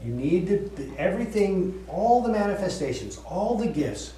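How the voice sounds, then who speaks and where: A man teaching a casual Bible study to a group, chatty and quick to laugh, often repeating and restarting his words. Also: sits high, sing-song, mellow, slight background noise. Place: room with group listening. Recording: echoey room, voice from far off.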